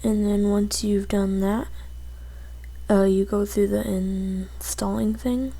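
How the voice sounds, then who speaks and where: A woman presenting a casual software tutorial as she goes through the steps on a computer. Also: medium pitch, little pitch movement, slow pace, gravelly.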